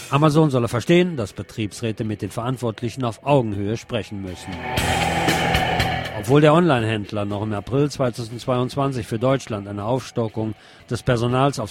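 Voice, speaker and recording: A man's voice: mid-range voice, darkish tone. A man presenting radio news, reading from a script in German news style.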